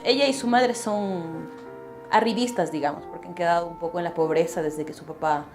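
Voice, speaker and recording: A woman's voice: fairly low pitch, brisk. A woman giving casual interview commentary. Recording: guitar music behind the voice.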